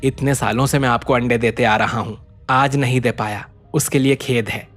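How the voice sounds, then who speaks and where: A man's voice: medium pitch, steady tone, moderate pace, fairly bright tone, pitched below the performer's own voice, natural voice. Humble village egg seller, voiced by a man, music behind. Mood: apologetic.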